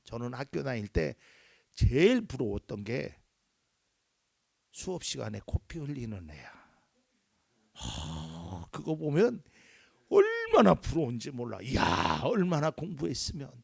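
A male pastor preaching a sermon in a lively way, acting out little quoted voices and dropping at times to a near whisper. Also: mid-range voice, unhurried, gravelly, pauses often, breathy edge, dry recording.

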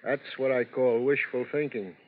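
A man's voice: medium pitch, fairly even intonation, normal speed, natural voice. Actor as friendly guy, tinny old radio. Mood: friendly, insistent. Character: friendly, persistent male nightclub co-worker. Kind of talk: 1950s radio drama acting. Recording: tinny 1950s radio broadcast.

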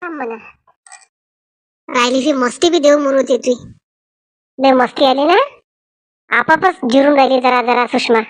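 A woman's voice: sits high, normal speed, pauses often, no room sound, natural voice. A woman voicing gossipy, scheming village women in a Marathi comedy cartoon, with a Varhadi accent from Vidarbha and a colloquial, dramatic way of talking. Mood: aggrieved.

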